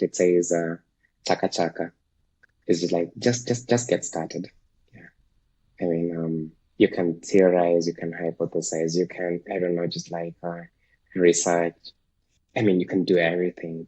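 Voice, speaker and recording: A man from Kenya, Luo his first language, talks in a low, very raspy voice. He reflects thoughtfully, stopping and restarting with fillers. The sound is dry and close, with no room around it. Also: warm tone, dry recording, rough recording. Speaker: guest, startup founder. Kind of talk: reflective podcast interview.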